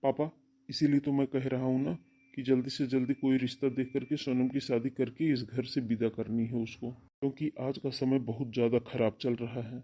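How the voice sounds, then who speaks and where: Man as stern elder brother, firm, dark raspy voice, insistent, cartoon melodrama. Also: medium pitch, level tone, normal speed, close to an everyday voice. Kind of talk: Hindi cartoon family melodrama.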